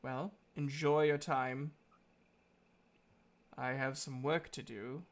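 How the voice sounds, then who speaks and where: A composed, businesslike woman from a fantasy tabletop game, acted out by a man. The delivery is quiet and reserved, slow and measured. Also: mid-range voice, fairly even intonation. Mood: calm, reserved.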